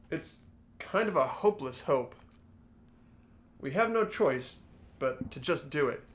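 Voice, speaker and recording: A man's voice: fairly high pitch, a bit bright. A man voicing an earnest, anxious, determined young man in a fan-voiced visual novel game. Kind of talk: amateur voice acting and narration of a visual novel game.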